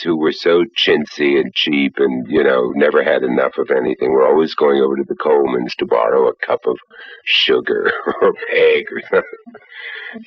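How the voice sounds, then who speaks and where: Older guy spinning a story, lively swings in his raspy voice, muffled old recording. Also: rumbling low, dry recording. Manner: animated, amused raconteur. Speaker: actor telling an anecdote. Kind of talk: informal storytelling. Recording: dull, dry archive recording.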